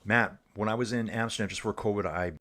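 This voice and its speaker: A man giving relaxed livestream cycling commentary, reading viewers' chat aloud and calling them guys and dude. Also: on the low side, quick pace. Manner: chatty, says "guys" and "dude" often. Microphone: earbuds.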